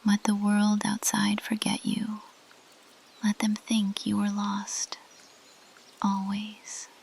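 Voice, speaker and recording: A woman voicing a possessive, lovestruck ancient plant monster girl in an intimate, husky whisper, as ASMR roleplay close to the microphone. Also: medium pitch, monotone, a little mellow, slight background noise. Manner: slow, intimate, possessive murmuring.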